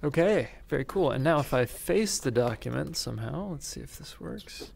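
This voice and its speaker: A man with a gravelly, medium-pitched voice hosts a virtual meeting in a casual way. His headset audio has faint noise behind it. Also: expressive, normal speed, a little mellow. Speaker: seminar host. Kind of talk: casual talk while operating software. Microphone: VR headset microphone. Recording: faintly noisy headset voice chat.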